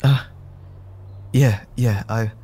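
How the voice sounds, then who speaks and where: A man playing a troubled, apologetic gentleman scientist in an audio roleplay drama, speaking in a formal, halting, anxious way. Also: mid-range voice, fairly even intonation, breathy edge. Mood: apologetic, pleading.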